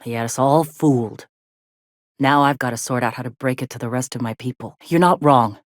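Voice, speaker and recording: A woman voicing a gruff, plainspoken middle-aged frontier medic in a video game, with a blunt, folksy way of talking. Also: extremely low pitch, a little fast, no room sound, studio quality. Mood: tired, blunt. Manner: folksy, blunt, drawling slang with swearing. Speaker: video game character, medic and deputy leader.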